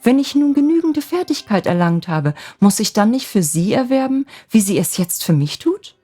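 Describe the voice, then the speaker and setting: A woman's voice: on the high side, very animated, moderate pace, dark tone, faint music behind, pitched above the performer's own voice. A woman voicing an anxious, tender young girl in love with coy, breathless pleading in a storybook tale.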